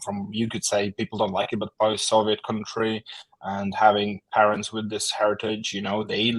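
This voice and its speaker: A man's voice: lowish voice, dry recording, poor audio. A young man from Estonia whose first language is Russian, speaking as a guest on a video call with many fillers and restarts. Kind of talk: remote interview.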